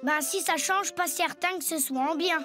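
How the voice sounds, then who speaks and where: A woman's voice: extremely high pitch, moderate pace. A woman voicing a plucky, easily embarrassed cartoon schoolboy, emphatic and grumbling. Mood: indignant. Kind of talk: French animated cartoon dub. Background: music.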